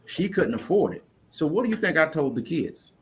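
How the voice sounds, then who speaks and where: A man's voice: a little high, sing-song, moderate pace, a little hiss or hum. A man in an interview, earnestly explaining money matters in a conversational way, often restarting his sentences. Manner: earnest, explanatory, repeats words when restarting. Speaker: insurance investment guest.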